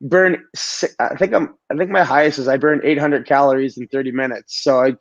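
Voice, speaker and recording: A man with a raspy voice from a recent cold, talking casually as a guest and often repeating words as he starts sentences. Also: mid-range voice, steady tone, brisk, dull audio. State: recovering from a cold. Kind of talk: casual interview talk.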